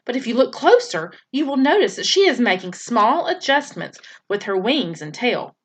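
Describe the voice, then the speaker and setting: Woman reading as a calm, precise robot mother. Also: fairly high pitch, slightly rough. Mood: instructive. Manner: plain measured formal speech.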